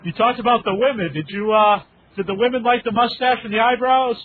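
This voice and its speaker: A man's voice: very high voice, steady tone, a little fast. A man hosting a casual radio interview, easygoing and joking. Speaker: radio host. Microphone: telephone. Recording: tinny phone line with faint hiss.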